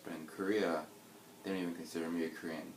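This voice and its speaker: A young man in his twenties with a very low voice, talking through his answers in a reflective, hesitant way. His voice bounces around a roomy space. Steady background noise sits under it. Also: fast talker. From United States. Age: 20s. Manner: reflective, hesitant, with fillers and restarts. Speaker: interviewee. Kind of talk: casual interview answers.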